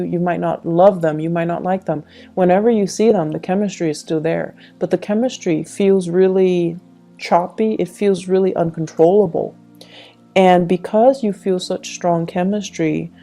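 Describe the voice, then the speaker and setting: A woman's voice: lowish voice, warm tone. A woman reading tarot, speaking directly to the listener in a reflective, advising way. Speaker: tarot reader and channel host.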